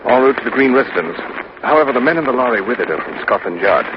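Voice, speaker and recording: Muffled noisy radio recording, man acting a British police inspector, fast-talking, courteous, understated. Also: mid-range voice, lively intonation, close to an everyday voice. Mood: courteous, reassuring. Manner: brisk, polite, understated. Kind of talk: 1950s radio drama acting.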